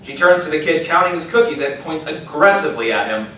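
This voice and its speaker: A man narrating a scripted story in an animated, storytelling style at a live panel. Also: fairly high pitch, fast. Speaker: narrator of a live audio drama table read. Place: large indoor panel room at a convention. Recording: echoey convention hall, distant mic, faint noise.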